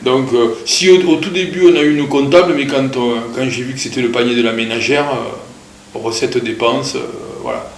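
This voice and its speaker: A man in his fifties, born in Portugal, speaks at a middle pitch in a halting, unhurried way, pausing often and filling gaps with small sounds. His voice carries a noticeable room echo. A faint ambient hum sits underneath. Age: 50s. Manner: hesitant, many pauses and filler sounds. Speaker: interviewee telling his family's migration story. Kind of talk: casual spoken recollection.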